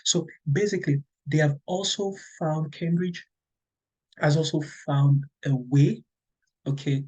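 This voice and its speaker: A man's voice: on the high side, a little slow, a little mellow, husky, muffled recording. A middle-aged Nigerian man, a native Yoruba speaker, explains exams to fellow teachers, checking in with "okay" and often restarting. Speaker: English teacher. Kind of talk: explaining to teachers, conversational lecture.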